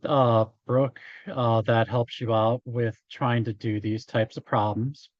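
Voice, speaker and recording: Slow-talking, slightly hoarse male instructor, dull sound. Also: mid-range voice, little pitch movement, no echo. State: hoarse after hours of talking. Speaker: college instructor. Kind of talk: informal statistics lecture, working through examples.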